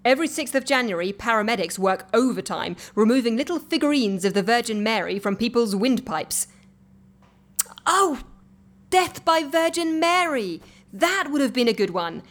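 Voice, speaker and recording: An actress playing a brisk, droll, capable woman who gently bosses an eccentric office in an audio comedy. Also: a little high, animated, lightly acted. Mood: gleefully droll. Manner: droll, organised, gently bossy. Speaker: actor in a comedy fiction podcast. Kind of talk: audio comedy drama acting.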